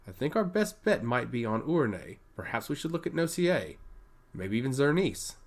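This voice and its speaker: A man's voice: medium pitch, big pitch swings, normal speed. A man voicing a burdened, skeptical female chief advisor in a fantasy story, grave and dismissive. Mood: grim reasoning.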